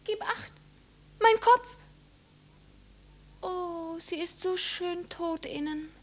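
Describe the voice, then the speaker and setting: A woman's voice: extremely high pitch, extremely slow, heavily acted. An actress playing a feverish, deranged young girl in a radio drama, murmuring dreamily and repetitively. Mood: agitated. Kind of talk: 1950s German radio drama acting.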